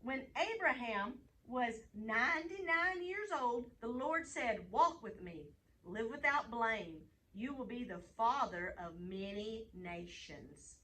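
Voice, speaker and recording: Female children's storyteller, bright and fairly high, animated delivery, picked up from a distance with faint noise. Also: slow. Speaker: host, children's Bible storyteller. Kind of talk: reading bedtime Bible stories to children, chatting with a puppet.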